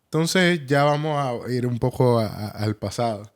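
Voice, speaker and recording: Guy in his 20s, hosting a laid out group chat, medium pitch. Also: expressive, moderate pace. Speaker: podcast host steering the discussion.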